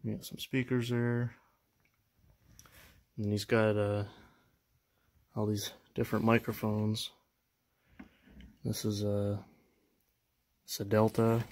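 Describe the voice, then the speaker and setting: Young man, low voice, monotone delivery, hesitant and unsure, casual show-and-tell vlog. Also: slow pace, pauses often. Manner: unsure, hesitant, many fillers and trailing phrases. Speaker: vlogger showing his radio collection.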